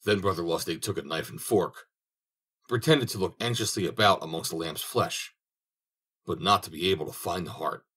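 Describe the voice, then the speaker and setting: A man's voice: sits low, moderate pace, slightly rough. A man reading a fairy tale aloud as an audiobook narrator, telling it expressively. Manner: expressive storytelling. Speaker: audiobook reader.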